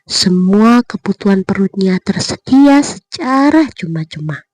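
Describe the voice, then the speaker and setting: A woman storyteller narrating a bedtime fairy tale with expressive, deliberate phrasing. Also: mid-range voice, dry recording.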